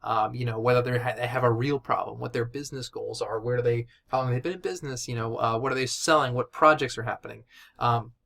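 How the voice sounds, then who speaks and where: A man with a mid-range voice teaches in a relaxed, informal way. He speaks like an instructor chatting directly to his students, loosely and conversationally, often tagging points with a quick check that they follow. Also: medium pitch, creaky. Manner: informal and conversational, addresses listeners as guys, often checks with "right?". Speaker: instructor of a sales and digital marketing course. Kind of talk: casual instructional talk.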